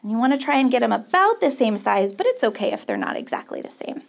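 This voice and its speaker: Upbeat middle aged lady camp counselor showing kids a craft, high voice, encouraging. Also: big pitch swings, fast, vocal fry. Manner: encouraging, upbeat, child-directed. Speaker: children's camp counselor host. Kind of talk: children's craft tutorial presenting. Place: small studio set, indoors.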